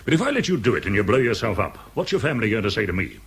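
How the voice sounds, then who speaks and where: A middle-aged English army CO in a radio drama. He talks fast with big swoops of pitch and a husky edge, blustery yet courteous in an old-boy way. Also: very animated, fairly bright tone, heavily acted. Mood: brisk, concerned. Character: brisk, courteous middle-aged British army commanding officer.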